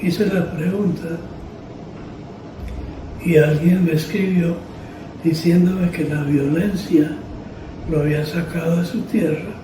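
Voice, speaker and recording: An older Colombian man giving solemn autobiographical testimony in short, deliberate, measured phrases. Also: a little high, fairly even intonation. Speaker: interviewee, former farm radio journalist. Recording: echoey, distant, clearly noisy.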